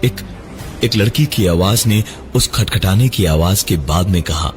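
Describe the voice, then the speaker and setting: A man narrating a scripted first-person horror story in a dramatic, halting, suspenseful manner. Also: low-pitched, over loud music. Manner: dramatic, halting delivery with suspenseful breaks and repeated words.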